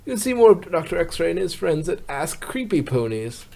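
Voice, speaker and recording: A man's voice: a little high, sing-song, normal speed, vocal fry, mellow. A man voicing a good-natured, pun-loving pony who narrates a fan comic blog in a chatty way. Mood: cheerful. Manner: chatty, eager questioner.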